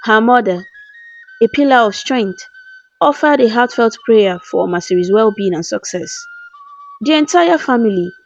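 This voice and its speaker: A woman's voice: a little high, lively intonation, moderate pace. A woman storyteller reading a scripted folktale in a dramatic, formal manner. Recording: slightly dull lo-fi voice-over. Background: soft background music with flute.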